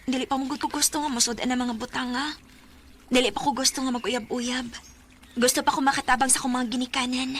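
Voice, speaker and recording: An actress playing a gentle, frank teenage schoolgirl with a Cebuano accent in a radio drama. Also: sits high, little pitch movement, brisk, slight background noise. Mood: gentle, earnest.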